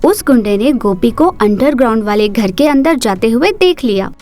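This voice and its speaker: A woman narrating a cartoon story in a steady, expressive storytelling manner. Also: on the high side, normal speed, soft background music.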